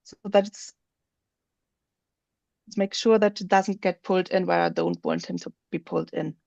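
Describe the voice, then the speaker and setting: A woman presenting on a video call, slowly walking through a software feature while she shows her screen. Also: fairly low pitch, very animated, vocal fry, no echo, dull audio. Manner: slow, explanatory, thinks aloud while showing her screen. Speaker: webinar presenter.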